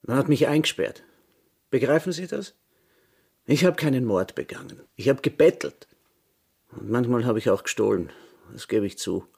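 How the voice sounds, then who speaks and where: An embittered vagrant thief, gravelly and husky, with sweeping intonation. He declaims insistently in literary radio drama style. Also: medium pitch, expressive, normal speed, long pauses, darkish tone. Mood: indignant. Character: embittered male vagrant thief yearning for freedom.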